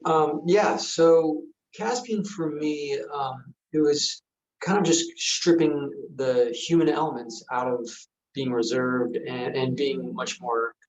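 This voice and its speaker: Soft-spoken, reserved man with mid pitch. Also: fairly even intonation, takes it slowly, muffled recording. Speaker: guest, actor. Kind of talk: casual interview answer. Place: video call.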